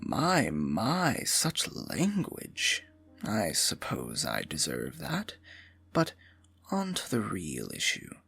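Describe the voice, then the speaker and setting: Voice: deep voice, huge pitch swings, slow, gravelly, fairly bright tone, breathy edge, soft background music, full character voice. An actor voicing a scheming evil royal advisor with magic, speaking in a silky, mocking, condescending way for an ASMR fantasy roleplay. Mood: silky menace. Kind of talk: ASMR fantasy roleplay, intimate theatrical monologue.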